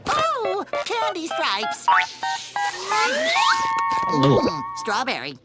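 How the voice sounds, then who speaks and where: An eager, dreamy candy striper played by a woman, with an extremely high voice that swoops up and down. The clip is scripted, and loud music plays over it. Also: huge pitch swings, very slow, heavily acted. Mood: eager, delighted.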